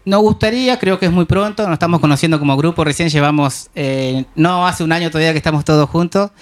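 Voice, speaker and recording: A man from southern Argentina, a guest in a casual radio interview, speaking slowly with many pauses and fillers. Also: a little high. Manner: unhurried, with many hesitations and fillers. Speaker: guest, dance group member.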